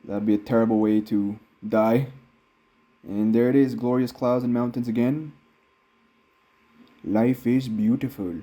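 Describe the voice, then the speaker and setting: Man, American accent with a slight Filipino tinge, mellow voice, casual vlog narration, talking from a car. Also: mid-range voice, a little slow, long pauses. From United States. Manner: relaxed, rambling commentary while driving. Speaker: vlogger. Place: inside a car on mountain roads.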